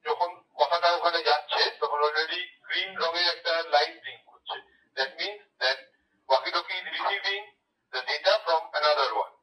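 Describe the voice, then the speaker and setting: A man's voice: fairly high pitch, steady tone, measured pace, bright, forceful tone, distant mic. An Indian man with a Bengali accent giving a casual demonstration, heard through a walkie-talkie radio. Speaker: tech reviewer, vlogger. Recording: tinny walkie-talkie speaker, echoey, off mic.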